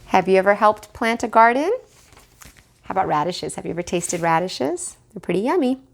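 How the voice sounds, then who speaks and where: A woman reading a picture book aloud to children in an expressive way, pausing to put questions to the listeners. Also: mid-range voice, gravelly. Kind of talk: animated read-aloud storytelling for children.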